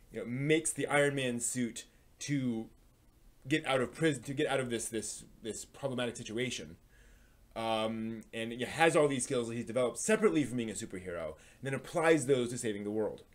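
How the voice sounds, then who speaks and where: A man hosting a live stream, giving opinionated commentary on anime in a rambling, thinking-aloud way. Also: mid-range voice.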